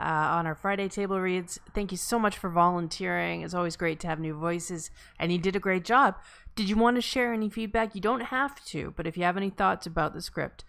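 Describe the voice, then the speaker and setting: A woman's voice: fairly low pitch, gravelly, bright, forceful tone. A woman casually moderating a discussion as host, in an encouraging way. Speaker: table read host.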